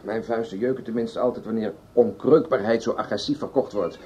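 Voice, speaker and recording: A man's voice: on the low side, fairly even intonation, a little fast, dark tone, slightly rough. A man playing a cynical, teasing colleague in a Dutch radio play, sardonic and blunt. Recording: muffled, dull radio play recording.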